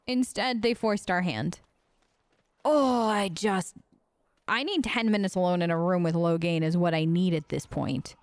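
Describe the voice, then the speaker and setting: A woman's voice: fairly low pitch, no echo. A woman hosting a let's play, giving casual, chatty role-play commentary and musing in character.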